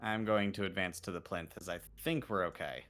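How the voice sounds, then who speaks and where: A man's voice: low-pitched, brisk, a bit bright. A man chatting casually as a player in an online tabletop roleplay game.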